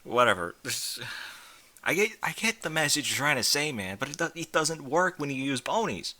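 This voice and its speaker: Forceful, bright-voiced man with lively pitch. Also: moderate pace. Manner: blunt, incredulous, informal. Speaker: fan fiction reader and commentator. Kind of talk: reading a story aloud with casual mocking asides.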